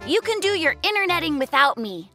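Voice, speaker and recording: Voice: sits high, big pitch swings, a little fast, pushed and bright, faint music behind, full character voice. A performer voicing an earnest, caring young brown bear in a children's cartoon, stubborn and plaintive. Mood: worried, hurt. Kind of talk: children's cartoon dub acting.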